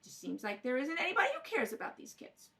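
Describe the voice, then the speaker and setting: A bright, swooping female voice acted by a woman as a nosy neighbor in an amateur radio play. She is fussy, folksy and insistent, speaking in homespun bad grammar. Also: high-pitched, huge pitch swings, fast, gravelly, heavily acted. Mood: indignant, insistent. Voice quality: folksy, ungrammatical speech. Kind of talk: amateur radio drama read-through.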